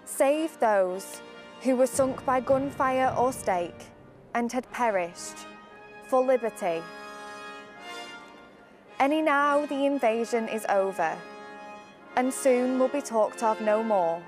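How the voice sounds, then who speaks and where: A woman's voice: fairly high pitch, slow pace, long pauses. A teenage young woman giving a solemn recitation of a war poem. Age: teens. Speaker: student reading a poem. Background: music.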